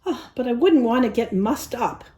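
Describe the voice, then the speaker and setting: Woman acting prissy high-pitched poodle lady, echoey. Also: animated, moderate pace, dark tone, lightly acted. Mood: haughty, fretting. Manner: affected, dramatic exclaiming. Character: haughty, prissy, rich female poodle, theatrically fretting.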